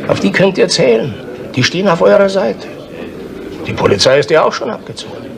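An actor playing a blunt, conspiratorial villager in a 1970s radio crime drama, gravelly and insistent. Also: male voice, sits high, expressive, fairly quick, background noise. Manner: insistent, emphatic.

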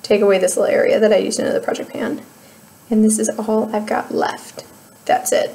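A woman's voice: medium pitch, flat delivery, brisk, dark tone. A young woman with an American Midwest accent chatting casually in a beauty vlog, rambling and laughing at herself, recorded on a webcam in a small room at home. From Midwest United States. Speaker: beauty vlogger. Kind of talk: casual chatty product update.

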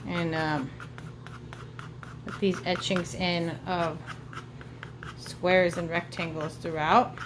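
Slow-paced woman teaching drawing. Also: lowish voice, level tone, noisy background. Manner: informal, thinking aloud while drawing. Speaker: art teacher. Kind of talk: step-by-step drawing lesson for children. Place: indoors at a table.

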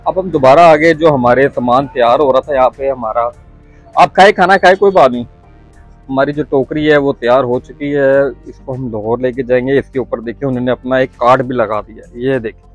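A man's voice: mid-range voice, a little fast, faint music behind. A man, chatting upbeat to viewers while vlogging through a market, filmed on a handheld phone with a lapel mic. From Lahore, Pakistan. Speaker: travel vlogger. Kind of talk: walking market vlog to camera. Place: busy indoor market shops. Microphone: phone held at arm's length with lapel mic.

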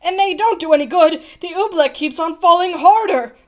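A woman's voice: very high voice, normal speed, pitched above the performer's own voice. A woman voicing a proud, imperious old king in a storybook, with a dramatic manner. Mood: groaning, desperate. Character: proud old king, commanding, groaning, bellowing. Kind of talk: storybook character voice.

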